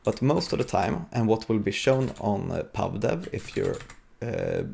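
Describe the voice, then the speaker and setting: A man's voice: fairly low pitch, huge pitch swings, unhurried, gravelly, a little mellow, a little hiss or hum. A man giving an informal technical demo at a conference, thinking aloud with some hesitation as he goes. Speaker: software developer giving a conference talk. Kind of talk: live coding demo, technical presentation.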